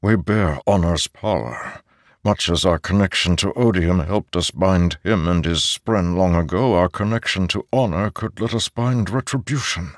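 A man voicing an aged, weary immortal herald in an audiobook, with a solemn, deliberate and strained delivery. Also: deep voice, no room sound, clean studio sound, lightly acted. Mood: urgent, resolute.

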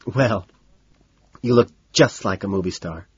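A man's voice: fairly low pitch, unhurried, lo-fi sound, a little hiss or hum, lightly acted. A man voicing a pudgy, effete dog owner in his late fifties, flirtatious and fussy, with a lisp. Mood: shy flirtation. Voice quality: lisping. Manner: fussy, coy, gushing.

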